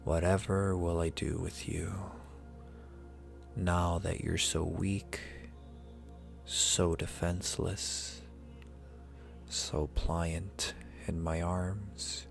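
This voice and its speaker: A man's voice: deep voice, little pitch movement, extremely slow, vocal fry, long pauses, faint music behind, full character voice. A man playing an ancient, powerful dragon in an ASMR roleplay, speaking formally in an intimate, teasing, condescending and possessive manner. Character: ancient male dragon familiar, powerful, possessive, amused, seductive.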